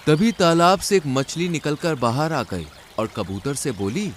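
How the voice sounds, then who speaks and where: A man's voice: a little high, expressive, unhurried, a little hiss or hum. A man reading scripted narration for a children's cartoon story.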